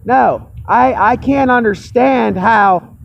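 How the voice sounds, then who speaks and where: A man with a hoarse, cracking voice vents to his camera, fired up, repeating himself and swearing freely. His voice sits high and turns gravelly. The recording is slightly muffled, with a faint hiss underneath. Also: slow. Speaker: vlogger. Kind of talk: angry personal rant to camera.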